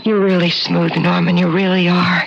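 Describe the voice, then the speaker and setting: A woman's voice: fairly low pitch, level tone, moderate pace, gravelly, a little hiss or hum. A woman playing a brash, restless young American woman in a radio drama, with a breezy colloquial manner. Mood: sheepish, pleading. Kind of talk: 1970s radio drama acting. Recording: muffled old radio broadcast, music underneath.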